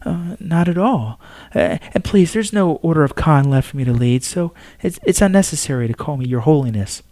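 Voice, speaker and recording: A man's voice: fairly high pitch, expressive, moderate pace, mellow, no echo, studio quality, pitched above the performer's own voice, lightly acted. A guarded deposed priestess, voiced by a man. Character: deposed young female religious leader, guarded.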